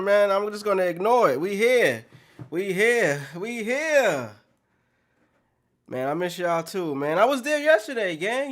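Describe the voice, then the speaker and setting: A man hosting a podcast livestream in a room with plants, talking casual and profane, loudly hyping up his chat with slang and shouted greetings. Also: sits high.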